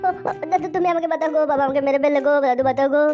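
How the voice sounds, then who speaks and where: A woman's voice: high voice, rapid-fire, natural voice. A woman voicing a whiny, demanding, foul-mouthed little boy in a comedy cartoon. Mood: whining, pleading. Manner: whining, pleading, insolent. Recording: muffled lo-fi audio with music.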